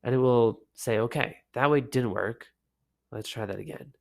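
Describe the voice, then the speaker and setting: A man hosting a casual solo podcast, sick with a lowered, deep voice, talking in a relaxed, rambling way with many fillers. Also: normal speed, vocal fry. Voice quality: deep, low voice. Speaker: podcast host.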